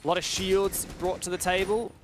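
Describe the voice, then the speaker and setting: A man doing live play-by-play esports commentary with a dramatic delivery that builds excitement around the action. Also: high-pitched, normal speed, background noise.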